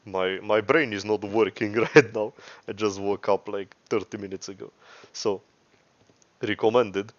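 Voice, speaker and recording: A man with a Romanian accent giving a casual, unscripted software tutorial, rambling and hesitant, often correcting himself. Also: lowish voice, steady tone, measured pace, no echo. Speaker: tutorial host. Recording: dry, dull lo-fi microphone.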